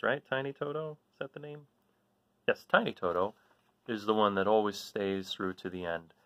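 Halting man introducing a children's book. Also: deep voice, a bit bright, no room sound. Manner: halting, self-correcting. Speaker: read-aloud host. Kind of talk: explaining a children's book to listeners. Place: small room at home, indoors. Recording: dull lo-fi device microphone.

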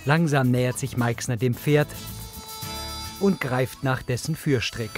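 A man's voice: medium pitch, a little slow. A man reading scripted audio description of scenes in the present tense as a narrator. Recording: music bed under the voice. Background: background music.

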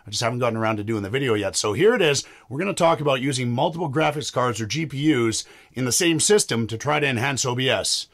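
Hoarse man with a cold explaining tech. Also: medium pitch, animated, quick pace, fairly bright tone. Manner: chatty, explains step by step with fillers. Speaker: streaming tech YouTuber. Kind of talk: casual tech tutorial to camera. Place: small home studio indoors.

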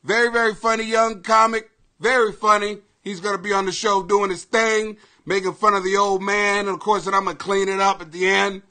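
A middle-aged American man hosting a comedy podcast in a brash, profane, excitable rant that often rises to shouting. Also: high voice, brisk. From Greenwich, Connecticut, United States. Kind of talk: ranting comedy podcast monologue.

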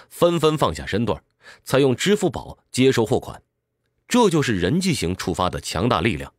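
A man's voice: medium pitch, no echo, studio quality. A man giving a scripted explanatory narration in a didactic way, speaking directly to the listener. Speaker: audiobook summary narrator.

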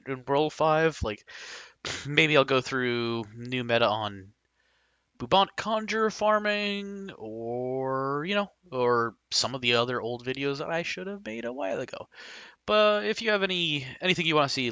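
Male game guide narrator, slightly hoarse, bright lively delivery, dry close recording. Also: medium pitch, no room sound, highs cut off. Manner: rambling, hesitant, frank opinions. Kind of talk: casual game guide commentary.

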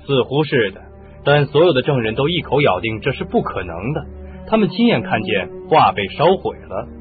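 An actor playing a sceptical, businesslike police inspector who questions things in a radio mystery. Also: male voice, medium pitch, sing-song, fairly quick, close to an everyday voice. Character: sceptical male police inspector, grudgingly impressed. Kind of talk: Chinese radio mystery drama acting. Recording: muffled lo-fi radio drama, music behind.

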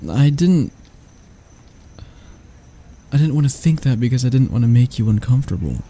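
A gravelly, frying voice gives us a grumpy barista with a soft spot , played by a man. He is flustered and flirty, halting and teasing as in a romance audio roleplay. His tone is very dark and relaxed, with noticeable noise behind it. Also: medium pitch. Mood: stunned, rambling. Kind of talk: romantic ASMR roleplay acting.

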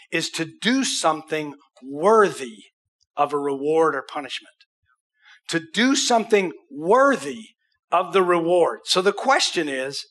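A man's voice: high-pitched, fairly bright tone. An American man in his sixties preaching a conversational, emphatic sermon as a pastor, with rhetorical questions. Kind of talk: conversational sermon with acted examples. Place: church auditorium stage.

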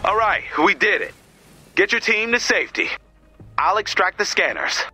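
An earnest, no-nonsense video game mission commander with a dry, exasperated streak. The voice is fairly high and very sharp, with lively inflection. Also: male voice, normal speed, breathy edge, faint music behind, exaggerated voice. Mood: urgent, commanding. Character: exasperated, no-nonsense heroic male team leader.

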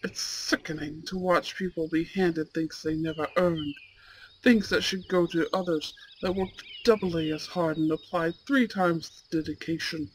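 A woman voicing a proud, imperious young male student in a roleplay audio drama, with haughty, formal and deliberate diction. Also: fairly low pitch, a little hiss or hum, natural voice, rough recording. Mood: disdainful. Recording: steady outdoor bird and insect ambience. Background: birdsong and insects.

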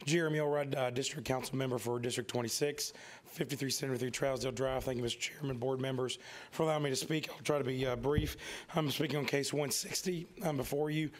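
A middle-aged man with a cold, losing his voice, giving halting public remarks as a council member at a podium. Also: medium pitch, steady tone. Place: indoor meeting room. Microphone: podium microphone.

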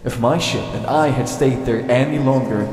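A man performs a starship commander on trial in a science fiction audio drama. He is indignant and insistent, with a bitter edge, his medium-pitched voice echoing around a large hall. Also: normal speed, a little mellow, close to an everyday voice. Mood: defensive. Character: defiant, embittered male starship commander on trial. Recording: echoey hall reverb.

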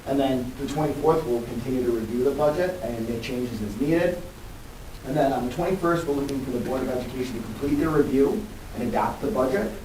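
A man of average pitch gives a formal, procedural presentation as a budget official, halting as he goes. His voice comes from a distance in an echoey room with faint noise. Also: dark tone.

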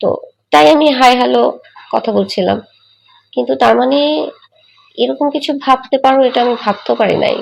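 Woman, Bangladeshi accent, higher pitched, creaky, direct questioning manner, private phone talk. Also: fairly quick, long pauses, slight background noise, poor audio. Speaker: caller in a private phone conversation.

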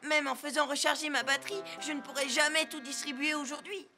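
A woman's voice: high voice, fairly even intonation, brisk, bright, forceful tone. A woman voicing an anxious, good-hearted little mail car in a French children's cartoon, plaintive and earnest. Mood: worried. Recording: music bed behind the voice.

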